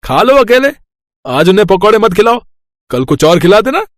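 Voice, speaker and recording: A man's voice: sits high, very animated, normal speed, no echo, clean studio sound, pitched above the performer's own voice. A man voicing a chirpy, casual brother-in-law who is eager and coaxing in a moral story. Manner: eager, breezy.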